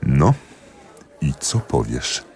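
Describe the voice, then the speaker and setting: An actor in a radio drama playing a jealous husband and magistrate, confiding ruefully and emphatically to a friend. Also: male voice, very deep voice, sing-song, very slow, darkish tone, full character voice. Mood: reading tenderly. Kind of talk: theatrical radio drama monologue.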